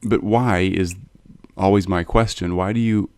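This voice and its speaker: A man's voice: sits low, steady tone, unhurried, a little mellow, clear, resonant tone, dry recording, clean studio sound. A man hosting an interview, asking long, meandering questions with frequent hesitations. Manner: meandering, hesitant, many fillers and restarts. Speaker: podcast host.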